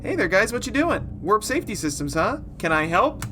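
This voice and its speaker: A man's voice: sits high, big pitch swings, brisk, over music. A man playing a tabletop game, chatting casually and improvising in character with playful, dry humor. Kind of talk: casual, joking game chat.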